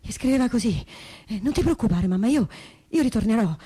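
A woman playing a sorrowful granddaughter in a stage testimony reading, speaking haltingly and repeating words as she searches for them. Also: a little high, lively intonation, warm tone, slightly rough. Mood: tender, remembering. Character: sorrowful granddaughter of a deported family, haltingly remembering. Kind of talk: theatrical reading of personal testimony, conversational.

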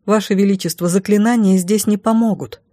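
Bold young female fantasy lead, insistent and urgent, mellow close sound, read by a woman. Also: medium pitch, steady tone, fairly quick, no room sound, voice pushed up, lightly acted. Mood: grave, insistent.

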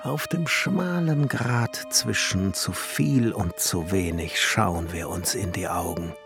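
Obsessive, gravelly alchemist glassmaker, slow. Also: male voice, low-pitched. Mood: brooding. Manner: musing, declamatory, drops into hushed whispers. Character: Johann Kunkel, obsessive visionary glassmaker and alchemist, solemn. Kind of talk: radio play inner monologue, literary German. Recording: studio radio play with music underneath.

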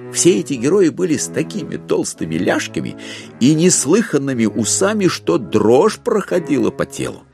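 A man narrating a classic literary audio drama in an expressive, scripted storytelling style. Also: mid-range voice.

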